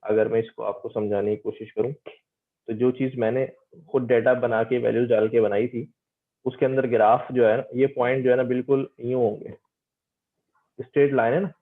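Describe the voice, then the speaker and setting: A man with a medium-pitched voice teaches informally over a thin, phone-like connection. He explains step by step, repeating phrases and often checking that listeners understand. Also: flat delivery, moderate pace. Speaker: teacher giving an online lecture. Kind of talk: informal interactive technical lecture. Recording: tinny phone-like call audio.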